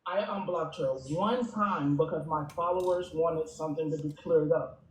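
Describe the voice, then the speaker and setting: A man with a high-pitched voice answers questions defensively and explains himself at length. He sits away from the mic in an echoey room, with light noise underneath. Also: moderate pace. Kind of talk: casual conversation on a live panel. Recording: roomy, distant mic with noise.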